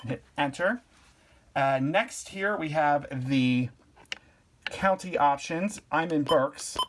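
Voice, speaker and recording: A man from Pennsylvania hosting a casual how-to video, giving relaxed, plainspoken step-by-step instructions. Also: fairly high pitch, slow pace, slight background noise. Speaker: product reviewer and tutorial host.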